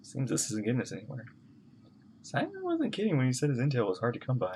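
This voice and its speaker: Deep-voiced, very animated woman streamer. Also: quick pace, slight background noise. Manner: playful, interjecting. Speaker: streamer reacting to a game. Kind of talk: casual live reaction commentary.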